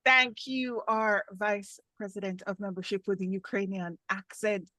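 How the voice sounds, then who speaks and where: A woman's voice: mid-range voice, steady tone, a little slow, bright, forceful tone, no echo. A woman chairing an online club meeting over a video call, making formal, gracious remarks as the floor is handed back to her.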